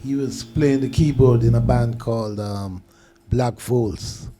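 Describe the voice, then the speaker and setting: An older man, a guest on a radio show, telling unhurried stories about how he started out. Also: medium pitch, faint music behind. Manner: relaxed, unhurried storytelling. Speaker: guest producer. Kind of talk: casual radio interview. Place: radio studio.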